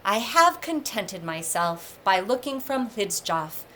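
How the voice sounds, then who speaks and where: A woman's voice: medium pitch, big pitch swings, slow, sharp tone, a little hiss or hum, heavily acted. A woman reading the part of a lovesick, stubborn young male god in earnest, declamatory storybook speech. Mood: wistful.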